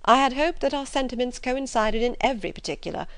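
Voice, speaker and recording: Woman as middle-aged gentleman father, sardonic, formal, measured irony, audiobook character, dry studio sound. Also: high voice, expressive, no room sound, pitched above the performer's own voice. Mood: dry, critical. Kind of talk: classic novel audiobook character.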